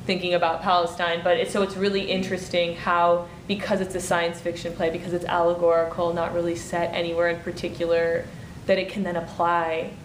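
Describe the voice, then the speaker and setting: A young woman from Michigan chats casually in an interview, thinking aloud and scattering "like" and "um" through her sentences. Her voice sits a bit low for a woman. The room is echoey, with a faint low rumble behind her. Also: monotone, a little fast. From Michigan, United States. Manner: chatty, full of "like" and "um", thinking aloud. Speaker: playwright and director. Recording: roomy echo, faint rumble.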